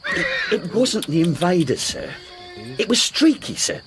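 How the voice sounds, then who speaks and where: An actor playing a humble, earnest racehorse jockey in a radio drama, deferential, with rustic, ungrammatical speech. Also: male voice, sits high, big pitch swings, unhurried, over music. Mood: earnest, indignant. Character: humble, earnest working-class racehorse jockey devoted to his horse.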